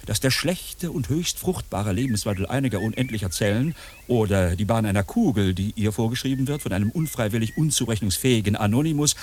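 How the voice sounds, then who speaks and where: An actor playing a grandiose, world-weary uncle in a German radio play, declamatory and easily exasperated. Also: male voice, mid-range voice, a little hiss or hum, lightly acted. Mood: pompous, musing. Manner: declamatory, dramatically drawn out when musing.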